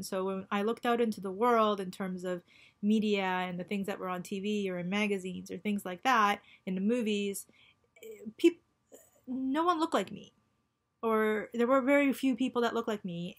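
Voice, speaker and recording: A woman in her forties records a personal video diary at a medium pitch. She talks in a halting, reflective way, stopping often to restart her thoughts. She was born in Japan and grew up in Canada. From born in Japan, raised in Canada. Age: 40s. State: going through chemotherapy. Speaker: vlogger.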